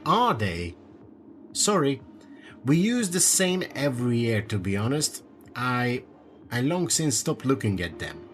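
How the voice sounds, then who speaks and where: A man playing a polite, evasive hotel manager in a radio play, speaking in a hesitant, courteous, measured way. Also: mid-range voice, very animated. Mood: evasive, uneasy. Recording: loud music behind the voice.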